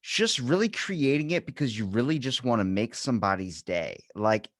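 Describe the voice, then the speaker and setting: A man's voice: medium pitch, animated, normal speed, dry recording, studio quality. A man hosting a podcast in casual unscripted chat, talking in a rambling, emphatic way and swearing freely. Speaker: podcast host.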